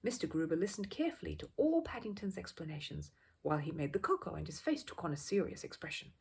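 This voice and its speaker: A woman reading a children's story aloud as an expressive, animated narrator. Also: on the low side, fast talker, gravelly, slight background noise.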